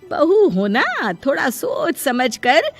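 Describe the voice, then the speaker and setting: A woman's voice: high voice, wildly swooping pitch, faint music behind, voice pushed up, exaggerated voice. Woman acting a bossy, scolding older mother-in-law. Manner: scolding, bossy, drawn-out address. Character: territorial older mother-in-law, stern and sarcastic.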